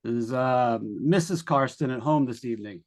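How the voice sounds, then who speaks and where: A man's voice: medium pitch, close to an everyday voice. A man playing a courteous, hesitant, apologetic police officer in an old-time radio suspense play. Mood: apologetic, awkward. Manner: halting, courteous.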